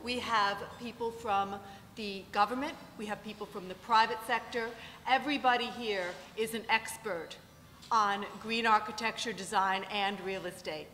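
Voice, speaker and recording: A woman's voice: medium pitch, fairly even intonation, normal speed, bright, forceful tone, a little hiss or hum. Woman moderating a panel in a hall. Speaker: panel moderator, green building consultant. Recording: reverberant room, faint ambience.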